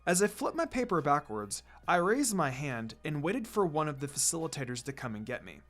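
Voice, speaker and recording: Animated male fanfiction reader over music. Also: on the high side, brisk. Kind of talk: dramatic storytelling narration. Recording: background music under the voice.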